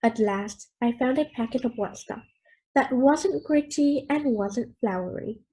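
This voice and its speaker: Female storyteller, very high pitch, soft mellow tone, expressive children's book narration. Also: a little slow, rough recording. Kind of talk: expressive read-aloud storytelling.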